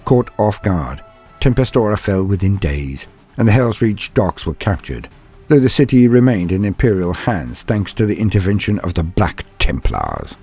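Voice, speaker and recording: Husky, low-voiced man narrating war lore. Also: big pitch swings, moderate pace, mellow, soft background music. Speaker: narrator of fan lore and fiction videos. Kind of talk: dramatic scripted storytelling narration.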